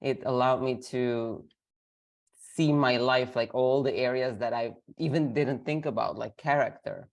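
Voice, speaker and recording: Woman, from Lebanon, sick and rough-voiced, halting speech, lots of ums, video call mic. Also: a bass voice. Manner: hesitant, many fillers. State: sick, voice affected. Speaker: guest. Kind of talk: casual interview.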